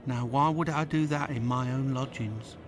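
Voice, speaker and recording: A man's voice: mid-range voice, moderate pace, close to an everyday voice. An actor playing a blunt, unbothered man, heard as game audio with noise behind. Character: gruff working-class London man, unbothered. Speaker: game character. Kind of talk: video game voice acting.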